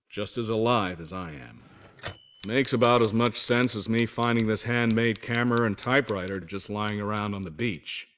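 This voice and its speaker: Low-voiced folksy American WWII soldier. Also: male voice, fairly bright tone. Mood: wry, earnest. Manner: folksy, wisecracking, old-fashioned slang. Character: stranded 1940s American soldier writing home, folksy and wry. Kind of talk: scripted first-person letter narration, in character.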